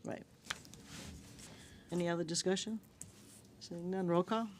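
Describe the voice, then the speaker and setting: A woman chairing a town board meeting, moving items along briskly and calling votes. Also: lowish voice, fairly even intonation. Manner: brisk and procedural. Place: town hall meeting room.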